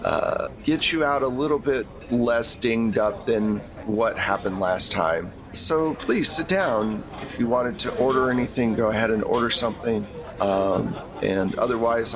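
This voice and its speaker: A man's voice: medium pitch, crowd noise, close to an everyday voice. Man playing a courteous, businesslike middle-aged female handler. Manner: measured, professional briefing talk.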